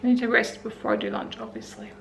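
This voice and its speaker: An Australian woman in her forties with a croaky voice, talking casually and wearily through her day at home in a vlog. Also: medium pitch, big pitch swings, fast talker, a bit bright, slight background noise. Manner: tired, rambling, self-talking. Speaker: vlogger. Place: indoors at home.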